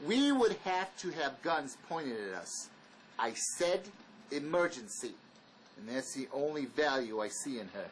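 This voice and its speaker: An actor playing a wary, blunt escaped convict in a drama, speaking in an argumentative, insistent manner. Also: male voice, medium pitch, animated, unhurried, slight background noise, close to an everyday voice, rough recording. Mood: cautious, insistent. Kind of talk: dramatic dialogue reading.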